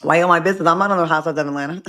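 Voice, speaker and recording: Bright-voiced woman with a New England accent. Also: low voice, fairly even intonation, racing. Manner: chatty, loose, laughs at herself. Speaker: co-host. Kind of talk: casual chat.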